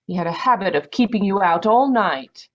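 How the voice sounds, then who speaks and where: A woman playing a genteel, affectionate, gently chiding Victorian doctor's wife in an old-time radio mystery. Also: mid-range voice, expressive, normal speed, lightly acted. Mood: fond reproach. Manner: polite, fond, proper turns of phrase. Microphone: video call. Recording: dull, muffled video-call audio.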